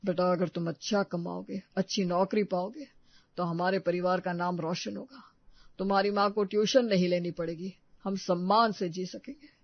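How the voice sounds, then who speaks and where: A man's voice: sits high, steady tone, normal speed, no room sound, muffled recording. A man playing a worried, earnest retired father counselling his son in a story drama. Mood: earnest, urging. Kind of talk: acted story dialogue.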